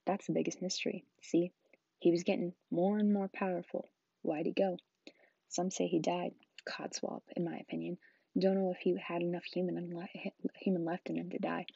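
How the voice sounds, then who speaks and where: A woman reading aloud, voicing a big gruff, kindly male giant groundskeeper with folksy, rough country speech. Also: on the low side, warm tone, dry recording, highs cut off.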